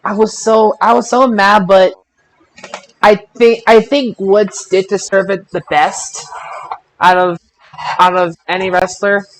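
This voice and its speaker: Casting: wrestling podcast host, medium pitch, halting and repetitive casual recap delivery, slightly noisy amateur audio. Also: flat delivery. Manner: halting, repeats phrases.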